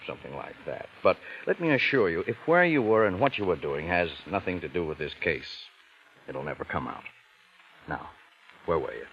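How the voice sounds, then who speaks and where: A muffled, slightly noisy vintage radio recording carries a police detective. His voice is low and forceful, polite on the surface but sharp, probing and insistent. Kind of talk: 1940s-50s radio crime drama acting.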